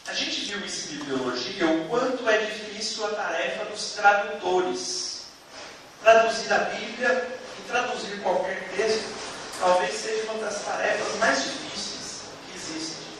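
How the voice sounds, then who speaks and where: A man with a Brazilian Portuguese accent lecturing a theology class in a didactic way, explaining through examples with emphatic pauses. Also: high-pitched. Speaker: theology teacher. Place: classroom, indoors. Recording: echoey room, distant mic, faint noise.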